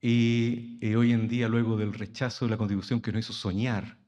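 A Chilean man, an actor, speaking from the audience at a conference panel in a soft, halting, reflective way with many pauses. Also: lowish voice, little pitch movement. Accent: Chilean Spanish. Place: university conference hall.

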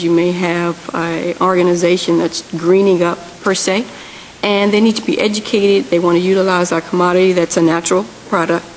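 Low-voiced woman speaking at a panel. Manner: earnest, repeats and corrects herself. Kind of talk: policy panel presentation. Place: large hearing room. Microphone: table microphone. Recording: long hall reverb, faint hiss.

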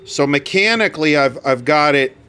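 A man's voice: fairly high pitch, expressive, moderate pace, sharp tone, smooth, soft background music. A man talking casually and matter-of-factly through hands-on repair work, rambling a little as he goes. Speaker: radio repair hobbyist, video host.

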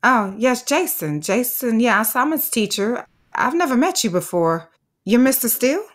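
An actress playing a dedicated, firm but anxious third-grade teacher in an audio drama, speaking in a measured, articulate, formal way. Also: female voice, on the high side, very animated. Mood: polite.

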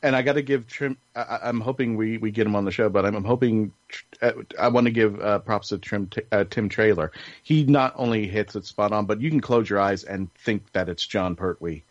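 Guy hosting a podcast panel, lowish voice, halting, kinda rewording stuff, dry muffled audio. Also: dry recording. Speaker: podcast host. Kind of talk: casual panel chat.

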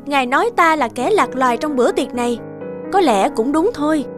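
Woman voicing a proud, high-voiced dark fairy. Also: lively intonation, fairly quick. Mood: haughty, cutting. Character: proud, misunderstood young dark fairy, outspoken and defiant. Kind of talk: animated fairy tale dubbing. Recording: music behind the voice.

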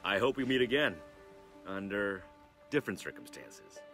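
Casting: earnest young monk hero, fighting game, low expressive voice, calm conviction, music behind. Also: male voice, moderate pace. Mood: gentle, firm. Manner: calm, resolute. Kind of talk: video game cutscene acting.

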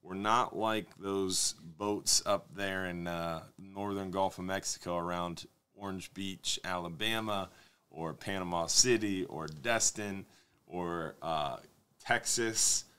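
Guy hosting a fishing q&a stream, deep smooth voice, chill and chatty, talking into a desk mic. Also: takes it slowly. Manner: relaxed, conversational, reads viewer questions aloud. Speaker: fishing show host. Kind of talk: live Q&A stream. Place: studio set with desk. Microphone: desk microphone on stand.

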